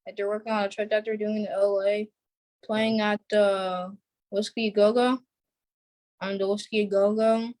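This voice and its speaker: A female interview guest giving simple, halting conversational answers. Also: mid-range voice, level tone, darkish tone. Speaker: play cast member, guest.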